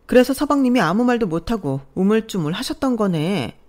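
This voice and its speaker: A haughty, spoiled young sister-in-law with a high, shrill voice, as a woman storyteller puts her on. She sounds indignant and sharp. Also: big pitch swings, a little fast, lightly acted.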